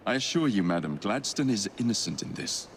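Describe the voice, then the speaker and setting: An actor playing a bold young Victorian London rogue with an English accent, wry, casual and confident. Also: male voice, on the low side, expressive, a little fast, a little hiss or hum. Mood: courteous, assured. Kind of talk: video game cutscene acting. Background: background music.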